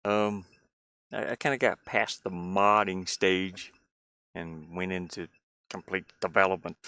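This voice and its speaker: Male indie developer on stream, deep-ish fry-heavy voice, informal and meandering, trails off mid-thought. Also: steady tone, a little slow, bright, forceful tone, no echo. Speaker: indie game developer livestreaming. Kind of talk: casual livestream chat while demoing a game.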